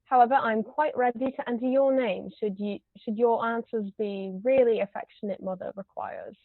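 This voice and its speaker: Woman doing a snobby old aristocrat lady, kinda high voice, pompous and formal, lo-fi table read. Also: steady tone, moderate pace, close to an everyday voice. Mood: haughty, appraising. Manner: pompous, formal, pronouncing judgments. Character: imperious, haughty older aristocratic English lady, snobbish interrogator. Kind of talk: amateur table reading of a stage comedy. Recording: roomy, muffled low-quality recording.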